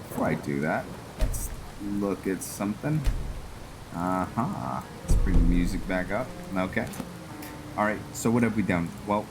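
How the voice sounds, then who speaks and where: A man's voice: deep voice, rough recording. A man hosting a gaming video with casual roleplay commentary, thinking aloud as he goes. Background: music.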